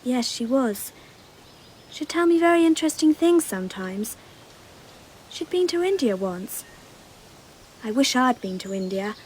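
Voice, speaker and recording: Candid English schoolgirl, twelve, high lilting voice, innocent and matter-of-fact, radio play. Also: big pitch swings, measured pace, pauses often, a little mellow, a little hiss or hum. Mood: matter-of-fact, eerie. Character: dreamy, frank twelve-year-old English girl. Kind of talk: broadcast radio drama acting.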